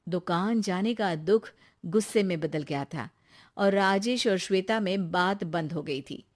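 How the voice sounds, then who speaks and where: A woman's voice: fairly low pitch, unhurried. A woman reading scripted narration for a moral story.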